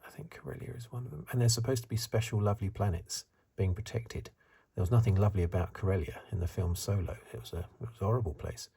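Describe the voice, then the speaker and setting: A man speaking in a soft, breathy, partly whispered ASMR voice, musing hesitantly as he gives a casual review. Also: fairly low pitch, fast talker, hardly any pauses. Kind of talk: soft-spoken ASMR commentary.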